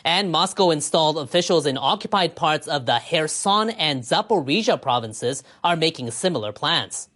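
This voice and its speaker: Measured radio news reader. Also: medium pitch, lively intonation, fairly bright tone. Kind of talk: scripted news bulletin narration.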